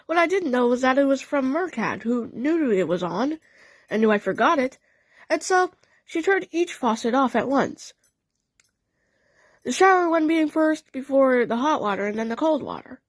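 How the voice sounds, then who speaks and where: A woman narrating a fan audio play as a first-person story, with a halting, dramatic delivery. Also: high-pitched, big pitch swings, no echo.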